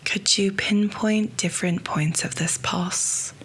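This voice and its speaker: A woman guiding a yoga nidra meditation, speaking softly and slowly, often close to a whisper. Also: mid-range voice, steady tone. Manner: soft, slow and hushed, often near a whisper. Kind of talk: guided meditation.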